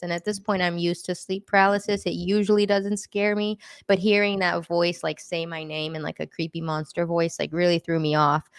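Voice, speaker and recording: A woman's voice: on the low side, smooth, no room sound. A woman casually sharing personal experiences in a group discussion, in an informal, conversational way.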